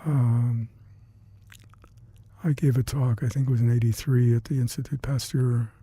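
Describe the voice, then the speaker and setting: An older man with Hungarian as his first language, recalling past work in an oral history interview, halting and reflective with many fillers and restarts. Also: mid-range voice, steady tone, warm tone, husky, dry recording. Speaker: interviewee, retired scientist.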